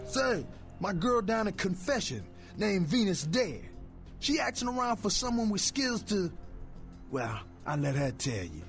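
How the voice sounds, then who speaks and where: Game character: jovial hustler dealer, high voice, highly animated and slangy, music underneath. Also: male voice. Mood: chummy, hustling. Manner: exuberant, slangy, drawn-out exclamations. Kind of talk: video game character acting.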